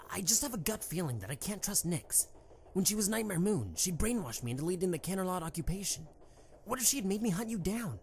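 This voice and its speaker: A woman's voice: lowish voice, darkish tone. A woman playing a young pony prince and fond husband, a protective worrier, conversational and earnest in an amateur audio drama. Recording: slightly noisy amateur microphone recording.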